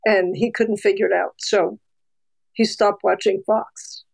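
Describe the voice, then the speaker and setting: A woman's voice: mid-range voice, fairly even intonation, unhurried, vocal fry, darkish tone. A woman from New Jersey, a documentary filmmaker, telling a personal story in a candid, chatty way with many fillers. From New Jersey, USA. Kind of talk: casual interview storytelling.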